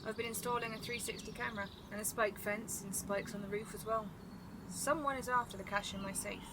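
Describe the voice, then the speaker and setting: A woman's voice: medium pitch, steady tone, a little fast, noisy background, lightly acted. An actress playing a stubborn, suspicious woman in an audio drama, speaking in a blunt, clipped way. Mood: paranoid, insistent. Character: suspicious, stubborn woman guarding her money. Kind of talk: naturalistic audio drama acting.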